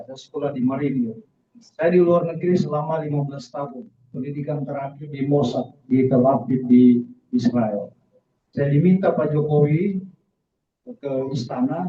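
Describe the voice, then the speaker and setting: Higher-pitched man testifying, roomy and distant. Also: slight background noise. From southern Philippines, mixed Indonesian family. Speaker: guest speaker giving a testimony, says he is a military man. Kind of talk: church testimony. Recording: distant, roomy, dull lo-fi sound.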